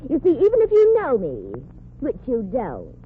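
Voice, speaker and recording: A woman's voice: sits high, huge pitch swings, moderate pace, a little hiss or hum. An actress playing a haughty young woman putting on a posh accent, in 1940s radio comedy style. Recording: tinny old radio broadcast.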